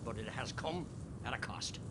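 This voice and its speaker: A man's voice: medium pitch, animated, background noise, natural voice. An actor playing an Irish rebel column commander in a radio play, rousing and solemn, declaiming like a man giving orders. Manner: slow, declamatory, barking orders.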